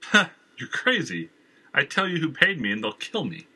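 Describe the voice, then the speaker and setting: A man's voice: medium pitch, wildly swooping pitch, normal speed, pushed and bright, slightly rough, pitched above the performer's own voice, full character voice. A man voicing a frightened but defiant young woman singer who stammers and trembles. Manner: stammering, shaky.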